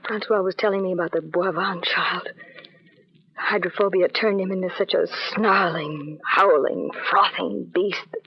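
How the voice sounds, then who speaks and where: An actress playing an anxious young wife in an old radio drama, melodramatic and full of breathy hesitations. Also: female voice, lowish voice, steady tone, moderate pace. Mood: guarded, wistful. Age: young adult. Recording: muffled, noisy old radio recording.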